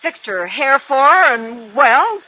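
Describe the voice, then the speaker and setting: Bright, haughty young society woman. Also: high voice, very animated, takes it slowly, exaggerated voice. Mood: sarcastic. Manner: haughty, sarcastic. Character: sharp-tongued, spoiled young society niece, haughty and sarcastic. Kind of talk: 1950s radio drama acting. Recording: dull old radio recording.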